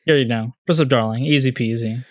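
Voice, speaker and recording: A man's voice: medium pitch, quick pace, no room sound, studio quality. A man co-hosting a podcast in casual chat, informal and often repeating words as he goes. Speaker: podcast co-host.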